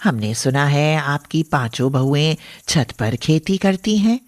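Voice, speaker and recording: A woman playing a snobbish, gossiping middle-aged neighbour in an animated moral story, catty and mocking. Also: deep voice, a little slow, dry recording, studio quality.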